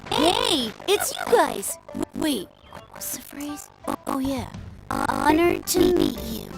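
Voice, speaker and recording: Very high, squeaky little sprite. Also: female voice, huge pitch swings, slow, vocal fry, full character voice. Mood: excited. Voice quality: squeaky, childlike. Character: small childlike companion sprite, perky and cheeky. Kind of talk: video game voice acting. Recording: game music over the voice.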